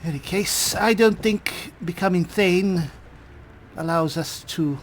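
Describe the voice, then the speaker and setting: A man playing a haughty, wry high elf spellsword in a fantasy game roleplay, speaking deliberately with musing pauses. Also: a little hiss or hum. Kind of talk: roleplay acting over a fantasy video game.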